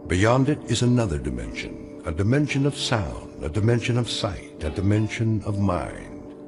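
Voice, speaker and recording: Deep, ominous male narrator. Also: big pitch swings, music in the background. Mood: ominous, mysterious. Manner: deliberate, portentous. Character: solemn, ominous male narrator of an eerie anthology. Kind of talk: scripted radio drama narration.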